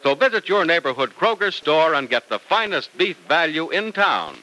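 A man delivering scripted announcements as an old-time radio announcer and pitchman. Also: big pitch swings, moderate pace, pushed and bright, low-quality recording.

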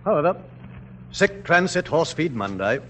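An actor playing an urbane, war-weary general in old radio drama, genteel and drily humorous. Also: male voice, on the high side, sing-song, normal speed, fairly bright tone. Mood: urbane, droll. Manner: genteel, droll. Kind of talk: 1940s-50s radio drama acting. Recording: dull, noisy old radio recording.

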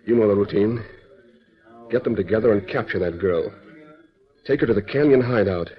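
Low-voiced, bullying western gang boss, played by a man. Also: normal speed, close to an everyday voice. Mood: commanding. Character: male villain gang boss, irritable, bullying, scheming. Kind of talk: old-time radio western acting. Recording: muffled vintage radio recording.

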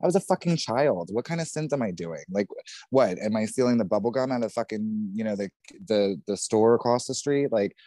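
A man from New Jersey with a New Jersey accent, a podcast guest telling his story candidly, outspoken and animated, swearing freely. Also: on the low side, fairly quick, no room sound. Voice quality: says he has a feminine voice.

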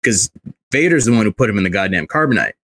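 Male podcast co-host, low-pitched, dry recording, casual and a bit sweary. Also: very animated, quick pace, fairly bright tone, breathy edge, no echo. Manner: informal, sweary. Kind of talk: casual pop culture chat.

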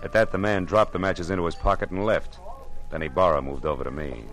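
An American actor playing a tough, wisecracking private detective in a 1940s radio noir, slangy and sardonic. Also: male voice, low-pitched, expressive, fairly quick, a bit bright, soft background music. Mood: grim narrating.

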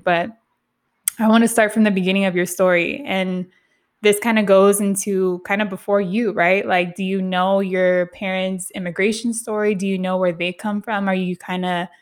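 A woman hosting a podcast, interviewing in a casual, conversational way with slangy, affirming phrasing. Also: mid-range voice. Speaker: podcast host.

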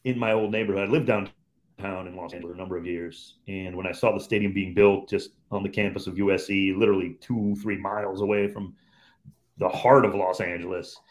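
A man in his fifties, originally from Cleveland, talks about his years in radio. His low, unhurried voice carries easy, loosely phrased recollections, the way a seasoned play-by-play commentator chats as a guest. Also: steady tone. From Cleveland, Ohio, USA. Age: 50s. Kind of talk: relaxed storytelling in conversation.

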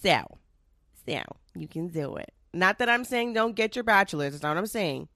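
A woman's voice: fairly low pitch, expressive, moderate pace, a bit bright, dry recording, clean studio sound. A young woman hosting her own podcast in a chatty, rambling way, full of asides and the odd curse, sounding a little nasal from a cold. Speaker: podcast host. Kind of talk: casual solo podcast chat.

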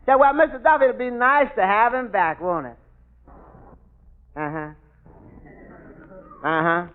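An actor playing a dry-humored tavern waiter in a 1940s radio sitcom, with folksy ungrammatical speech and stammered repeats. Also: male voice, high voice, a little slow. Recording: tinny old radio broadcast with hiss.

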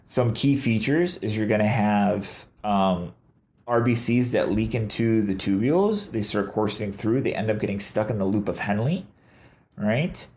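A man's voice: fairly low pitch, measured pace, darkish tone. A man with a nasal, congested voice hosting a medical education podcast, explaining kidney disease casually and asking his listeners rhetorical questions. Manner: explanatory, asks rhetorical questions and adds "right?". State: congested from allergies. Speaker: podcast host, nephrology educator.

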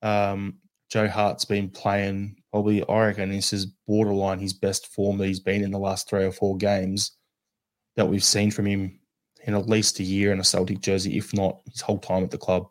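A man with an Australian accent co-hosting a casual football podcast, animated and acting out his reactions. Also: deep voice, moderate pace. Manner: animated, acts out reactions in quotes. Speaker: podcast co-host.

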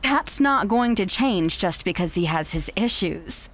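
Brief: woman playing manipulative young ex-fiancée, condescending, mid pitch. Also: animated, measured pace, fairly bright tone. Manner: condescending, dismissive. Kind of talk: audio drama acting.

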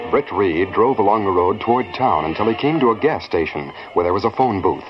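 A man narrates in the manner of a 1940s radio drama. His voice is fairly low and slightly muffled by the old recording, with loud music underneath. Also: lively intonation, a little fast.

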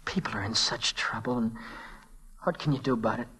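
An actor playing a naive, earnest teenage messenger boy with an American accent and halting speech, in old-time radio drama style. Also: mid-range voice, pushed and bright, husky, rough recording. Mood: troubled, wistful.

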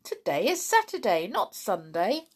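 A woman's voice: medium pitch, huge pitch swings, measured pace, husky, full character voice. A woman voicing a cheerful male postman in a children's story, hearty and chuckling.

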